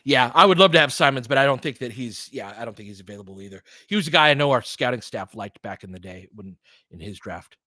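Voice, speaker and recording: A man's voice: medium pitch, expressive, fast, bright, forceful tone, no room sound, studio quality. A man doing informal basketball play-by-play as a host, chatting conversationally and thinking aloud. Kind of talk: casual basketball commentary mixed with chat replies.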